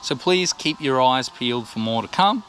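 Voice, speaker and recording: An Australian man with a bright, forward voice talks casually to camera. His delivery is colloquial and jokey, like an informal explainer, and his pitch sits in the middle. There is faint noise behind him. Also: expressive, moderate pace. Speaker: vlogger, musician.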